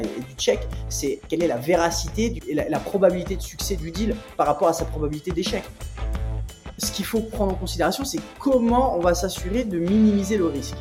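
A man in his thirties from Nice, a podcast guest explaining investing in a lively, casual way with many filler sounds, calling in remotely. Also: a little high. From France (Nice). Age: 30s. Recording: remote call, faint music behind.

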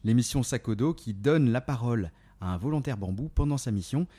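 A man's voice: mid-range voice, expressive, fairly quick, dark tone, husky. A man hosting a radio interview, asking questions in a hesitant way with many fillers and restarts. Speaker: radio show host. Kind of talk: interviewing a guest.

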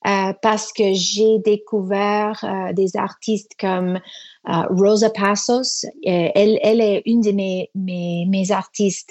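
A woman from Toronto whose first language is English, speaking French with an English-Canadian accent, full of pauses and fillers, as a singer interviewed remotely. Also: mid-range voice, darkish tone. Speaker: guest singer. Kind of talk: phone or video interview. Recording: remote line from Quebec City.

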